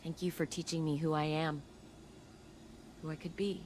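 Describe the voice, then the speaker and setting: An actress playing a grieving but resolute sci-fi heroine, speaking in a reflective, measured, earnest way in a video game. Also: female voice, low voice, flat delivery, a little mellow, a little hiss or hum, lightly acted. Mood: quietly resolute. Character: grieving, resolute adult woman, sci-fi heir of a troubled family. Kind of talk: scripted dramatic game dialogue and narration.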